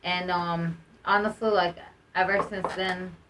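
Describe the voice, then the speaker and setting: Young woman, relaxed guest chat, lowish voice, mic kinda far, light background hiss. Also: measured pace. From Egypt. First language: Arabic. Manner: relaxed, many fillers like "like" and "you know". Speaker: guest, musical artist. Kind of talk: casual podcast interview. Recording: roomy sound, mic at a distance, faint noise.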